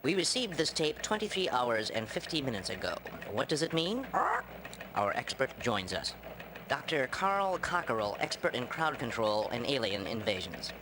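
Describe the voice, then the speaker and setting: A performer voicing an earnest young male chicken news anchor in a game cutscene, with a lively newscaster delivery. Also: medium pitch, normal speed, noisy background, natural voice.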